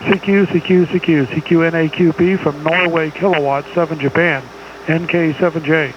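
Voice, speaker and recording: A man's voice: a little high, fairly even intonation, normal speed, dull audio. A middle-aged man working an amateur radio contest, rattling off clipped exchanges and spelling in phonetics. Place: small home radio room indoors. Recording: clear background noise.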